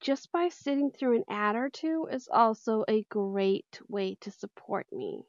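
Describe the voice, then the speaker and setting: A woman's voice: fairly high pitch, lively intonation, unhurried, dry recording, dull audio. A woman chatting casually and in a friendly way to her viewers as a channel host. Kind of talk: casual outro chat to viewers.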